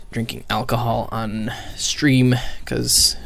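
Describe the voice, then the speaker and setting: A man's voice: medium pitch, flat delivery, extremely slow, creaky. Rambling man commentating on a game stream. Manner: rambling, hesitant, thinking out loud; casual swearing.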